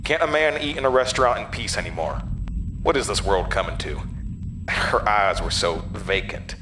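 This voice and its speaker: A man's voice: lowish voice, normal speed, background noise, natural voice. A man playing an irritable, snobbish career man muttering to himself in a horror audio drama. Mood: disdainful, tense.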